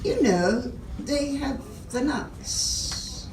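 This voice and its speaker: A scatterbrained older woman acted by an amateur reader in a radio play, speaking slowly and hesitantly with a lively melody. The recording is echoey and noisy. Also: high voice, full character voice. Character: scatterbrained woman with a broken old vacuum. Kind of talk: amateur radio theatre reading. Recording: echoey room, distant mic, noisy background.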